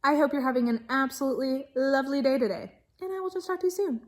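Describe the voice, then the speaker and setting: A woman's voice: high voice, fast talker. A woman giving a casual video book review in a gushing, emphatic manner, stretching key words for stress. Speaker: book reviewer and channel host.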